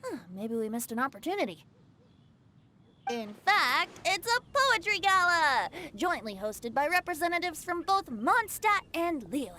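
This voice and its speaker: A woman's voice: very high-pitched, big pitch swings, measured pace, pushed and bright, a little hiss or hum, exaggerated voice. A woman voicing a playful, youthful boy bard in a video game, with a theatrical, lilting, charming delivery. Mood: playful, teasing. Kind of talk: animated game dialogue acting.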